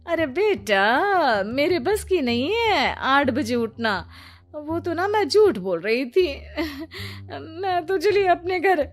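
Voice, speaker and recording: A woman puts on a high, whiny older mother-in-law from a cartoon family drama. She is lazy and evasive, drawing out her excuses slowly over faint music. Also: sing-song, exaggerated voice. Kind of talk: Hindi cartoon story acting.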